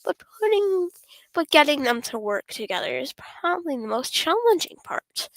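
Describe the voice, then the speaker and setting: A woman's voice: sits high, sing-song, moderate pace, no echo, rough recording. A woman voicing a shy, apologetic young woman from a literature club in a visual novel game, with a hesitant, stammering delivery. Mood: earnest, hesitant.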